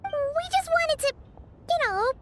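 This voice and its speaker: Squeaky, childlike fairy sidekick. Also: female voice, expressive, slow pace, full character voice. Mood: earnest, curious. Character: tiny childlike floating fairy companion, chatty and earnest. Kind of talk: cartoonish video game voice acting. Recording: music playing behind the voice.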